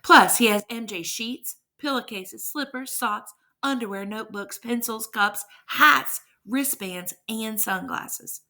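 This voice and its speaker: A woman's voice: a little high, takes it slowly, few pauses, bright, forceful tone. A woman teacher reading a verse story aloud with rhythmic, emphatic delivery and brief explanations.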